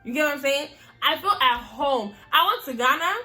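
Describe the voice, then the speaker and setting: A young American woman hosting a casual chat, animated and emphatic, often repeating her phrases. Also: high-pitched, moderate pace, soft background music. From United States. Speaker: host.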